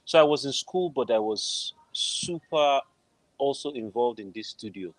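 A man's voice: medium pitch, expressive, measured pace, no room sound, rough recording. A middle-aged man with a Nigerian accent, telling a personal story conversationally as an interview guest on a video call. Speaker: interview guest, film composer. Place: indoor room at home, video call.

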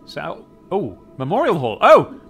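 A man's voice: on the high side, wildly swooping pitch, unhurried, vocal fry, fairly bright tone, faint music behind. A man giving chatty game commentary, thinking aloud and reading in-game text out. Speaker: gaming video host. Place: voice-over on game capture.

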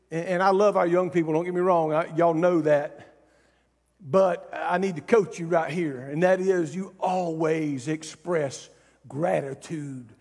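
High-pitched male preacher in a hall. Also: a little mellow. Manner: emphatic, exhorting, conversational asides. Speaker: pastor. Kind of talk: sermon. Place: large church stage, indoors. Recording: reverberant hall sound.

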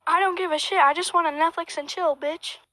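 Bright, very high-pitched brash woman. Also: steady tone, fairly quick, a little hiss or hum, low-quality recording. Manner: brash, profane, theatrical. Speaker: amateur voice-over performer. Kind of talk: crude comic dub of a puppet show, sing-song parody.

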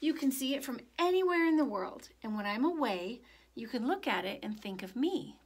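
A gentle storybook dad, read by a woman in a high voice. He is reassuring and soothing, explaining things patiently to his child. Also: very animated, moderate pace, husky, exaggerated voice. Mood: tender. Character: gentle, reassuring young father, a soldier leaving home.

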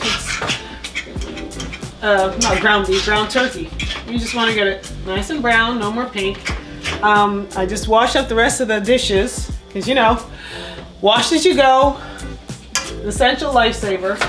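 A woman in her thirties chatting casually while cooking dinner in a small home kitchen, upbeat and laughing often, recorded on the camera mic with music and clattering dishes behind her. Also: mid-range voice. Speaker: vlogger, mom cooking dinner. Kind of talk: casual cooking vlog chat. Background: music and clattering pots and dishes.

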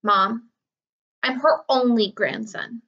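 A woman's voice: fairly high pitch, slow pace, sharp tone, highs cut off, exaggerated voice. A woman voicing a reluctant, stressed schoolboy with a whiny, protesting manner in a storybook.

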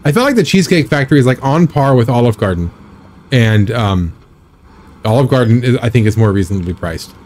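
A man in his thirties chatting casually while live-streaming a driving game, rambling with a slightly raspy, scratchy throat. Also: mid-range voice, lively intonation, a little fast, darkish tone. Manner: rambling, chatty, reads and answers chat.

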